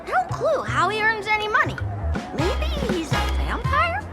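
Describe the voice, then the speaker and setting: A woman's voice: very high voice, huge pitch swings, moderate pace, heavily acted. A woman voicing a sly, greedy, playful little critter in a video game. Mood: chatty, pleased. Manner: sly, playful feigned ignorance. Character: cheeky little scamming furbo creature, greedy and playful. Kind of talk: video game character acting. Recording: loud music behind the voice.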